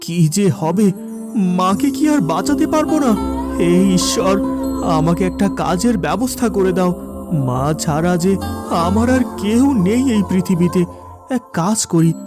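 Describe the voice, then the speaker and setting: A man playing a poor, devoted young son in a moral-story cartoon, earnest, hurried and pleading. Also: high voice, lively intonation. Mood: worried, pleading. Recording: loud music over the voice.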